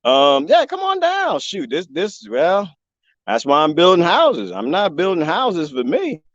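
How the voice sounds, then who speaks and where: Lively high-voiced guy joking around outside, dull dry phone sound. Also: unhurried, no room sound. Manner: animated, joking, imitates bird chirps. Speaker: live streamer. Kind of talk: rambling outdoor live stream. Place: outdoors in the desert.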